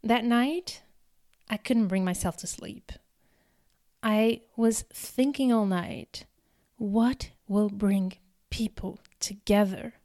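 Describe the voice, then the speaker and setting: A woman in her thirties, from Algeria, giving an earnest, deliberate storytelling speech with emphatic repetitions. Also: medium pitch, darkish tone, no echo, studio quality. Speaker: speaker giving a talk. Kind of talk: prepared personal storytelling speech.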